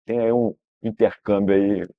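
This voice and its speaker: A man's voice: on the low side, steady tone, measured pace, dry recording, narrow, tinny audio. A Brazilian man, a guest in an interview telling long family stories, rambling and restarting with frequent tag questions. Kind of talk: relaxed storytelling interview.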